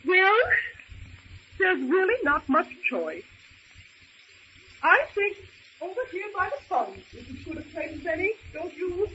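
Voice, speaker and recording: A woman's voice: very high voice, very animated, unhurried, pauses often, bright, forceful tone, exaggerated voice. A woman acting a genteel, anxious young lady castaway in an old-time radio adventure serial, speaking with earnest, polite theatricality. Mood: practical, encouraging. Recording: dull, hissy old radio recording.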